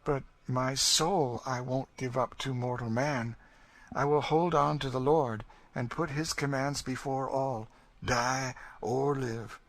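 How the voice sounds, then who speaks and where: A man voicing a devout, steadfast enslaved man who speaks calmly and earnestly in a plain rural dialect. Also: medium pitch, takes it slowly, voice pushed up. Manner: calm, earnest, pious.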